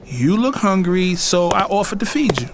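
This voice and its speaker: A man's voice: high-pitched, very animated, normal speed, noisy background. A man playing a sarcastic, teasing rich young man in an audio drama, playfully blunt.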